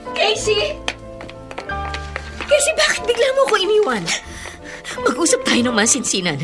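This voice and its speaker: A woman's voice: very high voice, huge pitch swings, moderate pace, over music, full character voice. A Filipino woman acting a guilty older sister in a radio drama, stammering and pleading. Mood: desperate pleading.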